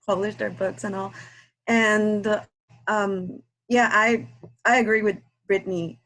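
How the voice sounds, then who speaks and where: A woman from Guatemala, English not her first language, chatting over a video call in a halting way, with many fillers and restarts. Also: medium pitch, fairly even intonation, a bit bright, poor audio. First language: Spanish. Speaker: guest reader. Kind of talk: casual video call chat.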